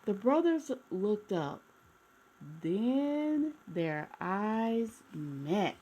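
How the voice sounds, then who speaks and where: A woman reading aloud to young children in an expressive storytime style, addressing her listeners directly. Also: mid-range voice, extremely slow, dull audio.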